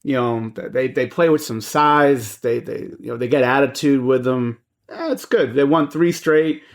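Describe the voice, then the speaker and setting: A man's voice: mid-range voice, moderate pace. A man in his forties co-hosting a podcast, talking sport in an easygoing way, full of fillers. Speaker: podcast co-host. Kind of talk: casual sports chat.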